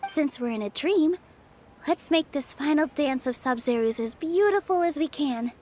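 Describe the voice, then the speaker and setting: High-voiced gentle young dancer. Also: female voice, lively intonation, moderate pace, slight background noise. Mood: wistful. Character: gentle, sincere young female festival dancer. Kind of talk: anime-style game voice acting. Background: background music.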